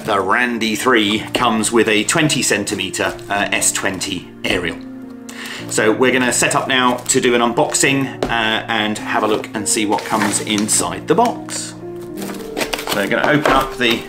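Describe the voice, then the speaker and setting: A man presenting an unscripted product demonstration and review in a measured, hesitant way, often pausing mid-phrase. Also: on the low side.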